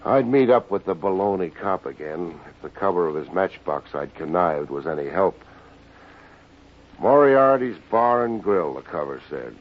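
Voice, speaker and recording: An actor playing a wry, hard-boiled American private investigator in a 1950s radio detective drama, terse, wisecracking and deadpan. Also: male voice, low voice, moderate pace. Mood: wry narrating. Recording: dull old radio recording, faint music.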